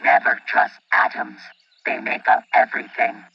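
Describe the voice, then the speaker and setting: An actor playing a cautious, protective robot in an audio drama, speaking formally and deadpan through a robot filter. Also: male voice, moderate pace, lo-fi sound.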